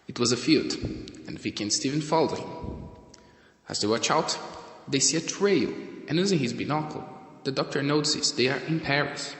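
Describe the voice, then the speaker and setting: A man's voice: mid-range voice, fairly bright tone. A man narrating a scripted audio drama story. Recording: echoey room with long reverb.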